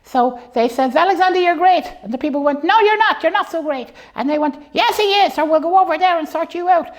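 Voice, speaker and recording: A woman's voice: extremely high pitch, a little fast. A woman voicing a bossy, childlike know-it-all puppet who rambles through muddled stories in an improvised comic show. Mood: storytelling.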